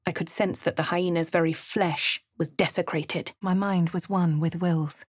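Posh English heroine: composed, haughty, husky low tone, dry studio sound. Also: female voice, fairly even intonation, a little slow, no room sound. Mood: measured. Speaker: player character. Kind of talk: video game voice lines and narration.

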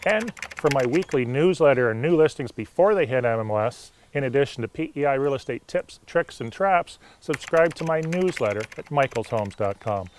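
A man's voice: medium pitch, lively intonation, moderate pace, hardly any pauses, a little hiss or hum. A middle-aged man presenting a property tour as a real estate agent, in an upbeat, scripted salesman's delivery.

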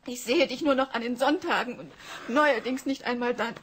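An actress playing the neglected, plaintive wife of a busy engineer in a German radio drama, emotional and reproachful. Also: female voice, on the high side, normal speed, natural voice. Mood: hurt, reproachful.

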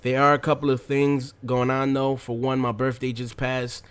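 A man's voice: mid-range voice, level tone, moderate pace, clean tone. Casual young man commentating over gameplay. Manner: slangy, laid-back rambling address to viewers. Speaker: gaming video commentator.

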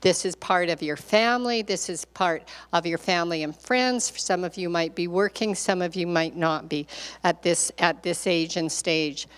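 A woman's voice: on the low side, normal speed. An older woman speaking as a care partner on a panel, talking earnestly and encouragingly into a handheld microphone in a large meeting hall. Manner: earnest, encouraging, repeats key points. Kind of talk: panel talk, advice to audience. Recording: hall reverb.

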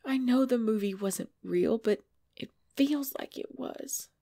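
A woman's voice: on the high side, measured pace, creaky, warm tone, no room sound, clean studio sound. A woman playing a cute, easily frightened girlfriend at bedtime in an intimate ASMR-style audio roleplay. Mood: panicked. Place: dry close recording indoors.

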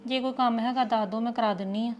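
A woman's voice: fairly high pitch, steady tone, fast, close to an everyday voice. An actress with a Punjabi accent playing a hurt daughter-in-law who complains about her family. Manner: complaining, reproachful.

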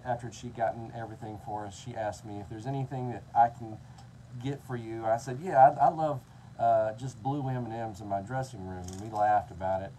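A man's voice: fairly low pitch, warm tone, slight background noise, poor audio. A man in his thirties telling a friendly, easygoing story on a tour bus. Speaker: band member and teacher. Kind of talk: casual storytelling.

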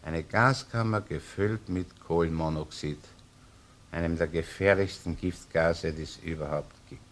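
An actor playing a shrewd middle-aged Viennese chief inspector in a crime drama, thinking a case through aloud. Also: male voice, low voice, unhurried. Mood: pondering. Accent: Austrian, Viennese. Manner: thinking aloud, tags sentences with "ne". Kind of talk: crime radio play acting.

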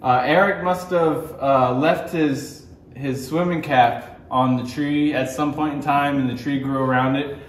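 A man's voice: medium pitch, steady tone, measured pace, far from the mic. Man, thirties, dry joker, echoey sawmill shop, off-mic, light hum. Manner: dry, joking. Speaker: sawmill channel co-host. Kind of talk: joking workshop vlog chat. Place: large indoor sawmill shop.

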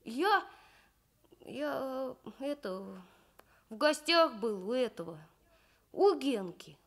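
A woman voicing an evasive young schoolboy in a radio story, halting and stumbling over words. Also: high voice, big pitch swings, exaggerated voice. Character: schoolboy Kostik, evasive, stammering young fibber.

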